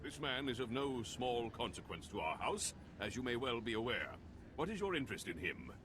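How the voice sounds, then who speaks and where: A man's voice: mid-range voice, big pitch swings, moderate pace, background noise, close to an everyday voice. An actor playing a haughty, suspicious nobleman in a video game, speaking in a formal, wordy, pompous way. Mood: stiffly gracious. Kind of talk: video game cutscene acting. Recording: game soundtrack music behind.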